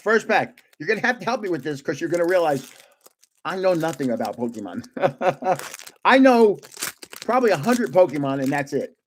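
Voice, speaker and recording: Animated older man spinning stories. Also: fairly quick, a little hiss or hum. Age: 70s. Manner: animated, laughs often, rambling anecdotes; self-described booming voice when yelling. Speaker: livestream host opening trading cards. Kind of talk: casual storytelling chat.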